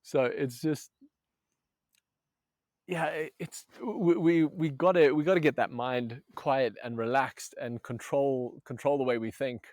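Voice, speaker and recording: A man's voice: mid-range voice, fairly even intonation, a little slow, darkish tone, dry recording, studio quality. A man from Zambia, a movement coach, talking earnestly in a casual podcast conversation, with many restarts.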